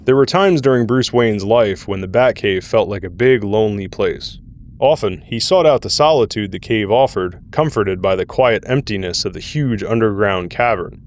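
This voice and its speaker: A man's voice: fairly low pitch, normal speed, a little hiss or hum. A man narrating a scripted audio drama in the role of storyteller.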